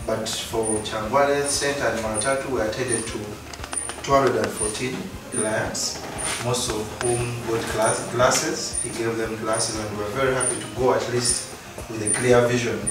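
A man's voice: mid-range voice, flat delivery, moderate pace, distant mic, background noise. Male mission coordinator, plain delivery, echoey and off-mic. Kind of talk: interview account. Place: meeting room indoors.

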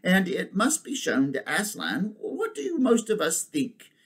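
A man's voice: high voice, wildly swooping pitch, unhurried, breathy edge, pitched above the performer's own voice, full character voice. A man voicing a mild, dignified female elephant in a storybook, politely rambling and hesitant. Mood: mildly bossy. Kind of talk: storybook reading.

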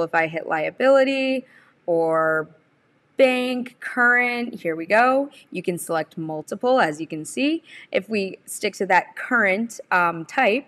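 A young adult woman presenting a software tutorial, explaining each step in an informal, instructional way. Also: fairly low pitch. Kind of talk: instructional screen-share walkthrough.

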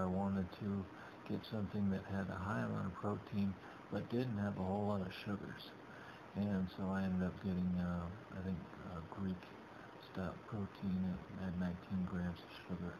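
A middle-aged man talks through his shopping in a loose, halting way, circling back and restarting his sentences. His voice is deep and flat, with a gravelly edge. The webcam recording sounds dull, with a steady hiss behind him. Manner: rambling, halting, many restarts. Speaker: vlogger. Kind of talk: casual narrated shopping vlog. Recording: dull lo-fi webcam audio with background noise.

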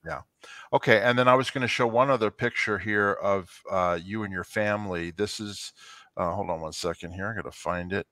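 A man's voice: on the low side, fairly quick, pushed and bright, no echo. An older man co-hosting a casual video-call chat from his kitchen, talking through a headset with lots of fillers and affirmations. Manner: chatty, affirming, many fillers. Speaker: co-host. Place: home kitchen, indoors.

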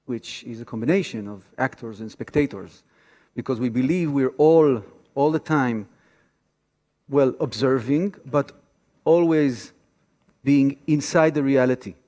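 Halting Israeli man in a reverberant hall. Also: mid-range voice, dark tone. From Tel Aviv, Israel. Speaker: activist guest lecturer. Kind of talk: informal public lecture with personal storytelling. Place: on stage in a large theater auditorium.

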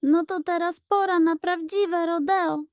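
A woman's voice: extremely high pitch, fairly even intonation, a little slow, no room sound, studio quality, pitched above the performer's own voice. A woman voicing a loyal, eager young male deputy in a bedtime audio story, with a wry, quipping way of talking.

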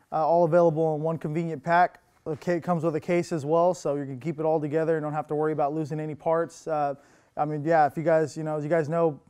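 A young man hosting a casual product rundown in a tackle shop, relaxed and loose with plenty of filler words. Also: clear, resonant tone. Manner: relaxed, lots of fillers, upbeat pitches. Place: indoor tackle shop with lure wall.

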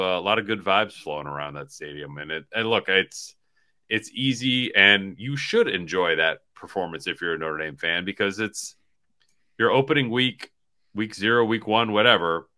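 A man's voice: deep voice, moderate pace, sharp tone. A man in his forties co-hosting a sports podcast, chatting casually and in a rambling way over a video call. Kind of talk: casual postgame sports chat. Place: small hotel room, indoors. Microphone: video call on laptop.

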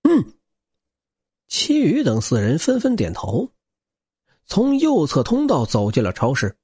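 Animated male audiobook narrator, slightly high-pitched. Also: measured pace, breathy edge, no echo. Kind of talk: dramatic scripted narration.

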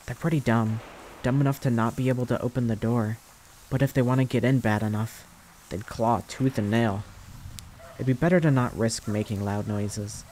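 A man's voice: mid-range voice, normal speed, natural voice. Man playing a flippant slacker boyfriend, noisy ambience. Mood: casual, reassuring. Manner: casual, foul-mouthed, deadpan rambling. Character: young slacker boyfriend sheltering his crush from zombies, flippant. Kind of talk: ASMR audio roleplay.

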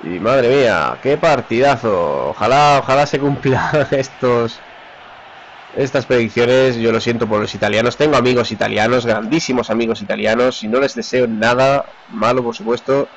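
A man's voice: medium pitch, dull audio, slight background noise. Spaniard calling his football game live. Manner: excited, rapid name-calling of players. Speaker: gamer commentating his own match. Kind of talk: live play-by-play commentary.

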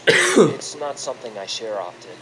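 An actor playing a restless young male sailor who shrugs off questions, in an animated series. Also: medium pitch, moderate pace, a crowd in the background, low-quality recording. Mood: sheepish.

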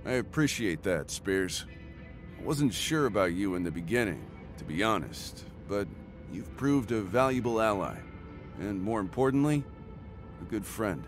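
An actor playing a sincere, steady young mercenary commander in a video game, plain-spoken and earnest. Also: male voice, lowish voice, moderate pace, music in the background, close to an everyday voice. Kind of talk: video game cutscene acting.

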